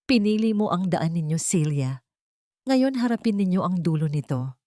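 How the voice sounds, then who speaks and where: A woman voicing a stern, vengeful older mother-in-law in a dramatic story, calm, cold and deliberate. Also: on the low side, no echo, clean studio sound, lightly acted. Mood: cold judgement.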